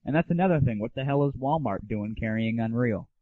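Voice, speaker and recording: A man's voice: medium pitch, a little fast, dry recording. A man hosting a gaming audio show, talking casually with a blunt, irreverent, opinionated manner. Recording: muffled, phone-like lo-fi 1990s internet audio.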